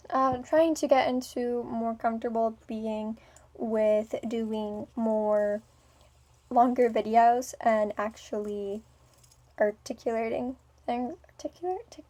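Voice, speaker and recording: A young woman with a speech impediment talks into her own vlog, her voice sitting a little high. She rambles, stops and starts again, and fills the gaps with ums. Her tone moves between smooth and businesslike and something more playful. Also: mellow. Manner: hesitant, many ums and restarts. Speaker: vlogger.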